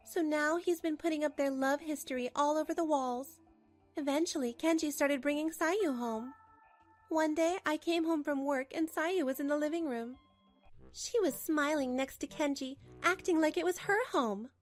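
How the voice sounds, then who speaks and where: Wronged wife, firm and pragmatic, played by a woman with a high voice, expressive manga-dub narration over faint music. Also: natural voice. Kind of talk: dramatic first-person narration for a manga dub.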